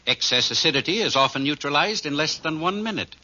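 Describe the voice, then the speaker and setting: A man playing an assured family druggist in a 1940s radio advertisement, explaining in a measured, scripted pitch. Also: lively intonation, pushed and bright, exaggerated voice. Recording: dull vintage radio recording.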